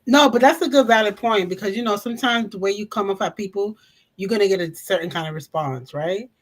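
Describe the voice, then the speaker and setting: A woman co-hosting a discussion who speaks in a measured, thoughtful way. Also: mid-range voice. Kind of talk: casual discussion.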